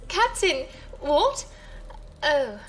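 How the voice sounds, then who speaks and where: A woman playing a dreamy, absent-minded female leader in an audio drama, vague and halting. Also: high-pitched, very animated, fairly bright tone, faint music behind, exaggerated voice. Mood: puzzled.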